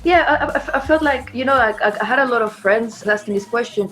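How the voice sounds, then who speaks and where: A woman's voice: a little high, brisk, fairly bright tone, soft background music. A woman chatting informally as an interviewed band member, in a loose, conversational way. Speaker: band singer and songwriter being interviewed. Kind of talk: casual chat.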